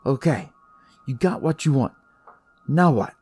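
A man's voice: mid-range voice, expressive, a little slow, darkish tone, breathy edge, voice pushed up. A man playing a hard-boiled, exasperated detective in an audio story, blunt and agitated. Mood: exasperated, defiant.

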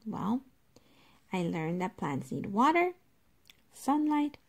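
A woman reads aloud as a teacher to young children. Her pace is slow and deliberate, with a noticeable creak in a mid-pitched voice. She pauses to explain things in an instructive way, speaking directly to the children. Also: big pitch swings.

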